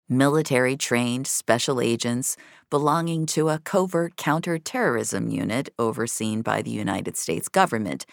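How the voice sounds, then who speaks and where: A woman's voice: extremely low pitch, creaky, no room sound, clean studio sound. A woman narrating scripted science-fiction drama with a wry, noir storytelling manner.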